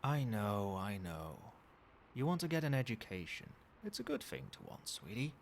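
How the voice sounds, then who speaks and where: Man playing a low, soft-spoken, possessive doting boyfriend. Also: moderate pace, creaky. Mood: soothing, doting. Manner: coaxing, tender, pet names, quietly controlling. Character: possessive, doting yandere boyfriend, soothing yet menacing. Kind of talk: soft-spoken ASMR roleplay. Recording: steady background noise, night ambience. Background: rain and cricket sounds.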